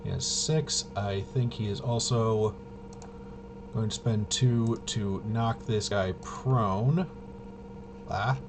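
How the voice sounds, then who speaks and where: A man with a fairly low voice talks very slowly over clear background noise. He gives casual commentary on a tabletop game he plays alone. His delivery is halting, as if muttering to himself while he works out each move. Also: fairly even intonation. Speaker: tabletop RPG player and presenter. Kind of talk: casual solo gameplay commentary, thinking aloud.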